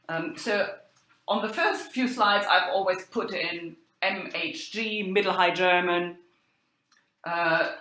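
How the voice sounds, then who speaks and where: A woman lecturing informally as an academic, explaining material in a halting, hesitant way with frequent filled pauses. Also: fairly low pitch, a bit bright. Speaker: university lecturer in medieval German literature. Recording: echoey, roomy sound.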